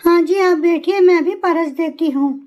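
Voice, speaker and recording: A woman voicing a gentle, dutiful young daughter-in-law bird in a cartoon story, speaking politely and earnestly. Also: extremely high pitch, normal speed. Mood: caring, earnest. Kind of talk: animated moral story acting.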